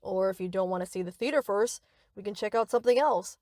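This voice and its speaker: A woman's voice: mid-range voice, quick pace, a little mellow, no room sound, studio quality, close to an everyday voice. A woman playing a young yandere captor in a scripted roleplay, speaking to the listener with cutesy, rambling, possessive affection. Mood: adoring, excited. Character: sweet, obsessive yandere best friend, young woman captor.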